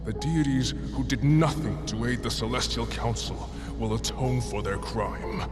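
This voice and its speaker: A solemn god of the heavens, acted by a man, delivers formal proclamations in a mid-pitched voice. Long cavernous reverb surrounds every word, with music clearly behind it in this fantasy audio drama. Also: unhurried, lightly acted. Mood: solemn decree.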